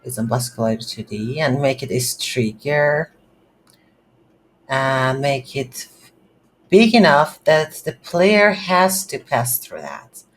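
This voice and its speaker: Slow, hesitant tutorial instructor. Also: medium pitch, low-quality recording. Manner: hesitant, thinking aloud in short phrases. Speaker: game development tutorial instructor. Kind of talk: unscripted screen-recorded tutorial narration. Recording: faint background noise.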